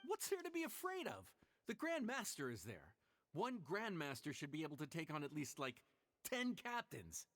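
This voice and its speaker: An actor playing a brash, confident young townsperson in a video game, chiding like a nagging friend. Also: male voice, on the high side, huge pitch swings, normal speed, fairly bright tone, a little hiss or hum.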